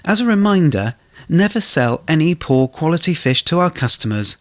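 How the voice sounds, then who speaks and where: A man reading scripted instructional narration for a corporate training film, in a measured way. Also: a little high, big pitch swings.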